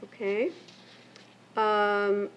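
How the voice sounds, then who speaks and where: An older woman, raised between Mexico and the United States, reads a prepared keynote speech in a measured, reflective way from her pages. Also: mid-range voice, level tone, fairly bright tone. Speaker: keynote speaker and memoirist. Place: lecture event. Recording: muffled lo-fi with faint hiss.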